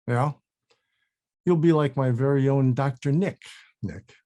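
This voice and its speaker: A man's voice: medium pitch, a little slow, warm tone, close to an everyday voice. A man acting a wry, weary older actor. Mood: wry, tired. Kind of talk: casual table read of a comedy-drama script.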